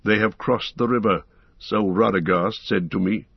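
A man voicing a grave, weary old male wizard who speaks in a calm, measured way. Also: on the low side, vocal fry, slightly rough, dry recording, highs cut off.

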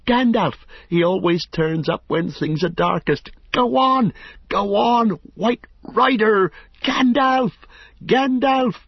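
A man voicing an anxious, excitable young male hobbit in a higher, breathless, pleading voice, from an audiobook reading. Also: normal speed, slightly rough, no room sound. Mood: exultant. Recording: dull lo-fi audiobook recording.

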